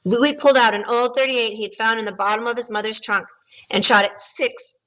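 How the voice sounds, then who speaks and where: A woman teacher reads a picture book aloud to young students. She stops to ask them questions and explain the story. Her voice is bright and rather high, with a friendly, patient tone. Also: steady tone, a little fast, low-quality recording. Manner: friendly, explaining to children between passages.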